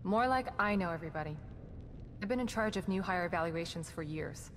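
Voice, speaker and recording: A woman playing a composed, professional staff psychologist in a horror game, measured and matter-of-fact. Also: lively intonation, close to an everyday voice. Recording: reverberant hall with rumbling noise.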